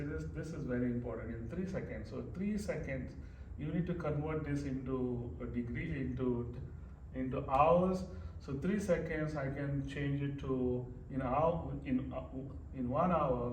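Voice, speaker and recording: A man's voice: medium pitch, darkish tone. A man teaching math informally, working through a problem step by step as he writes and often repeating his phrases. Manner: explains step by step while writing, repeating phrases. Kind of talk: informal worked-example math lesson. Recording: roomy echo, distant mic, faint noise.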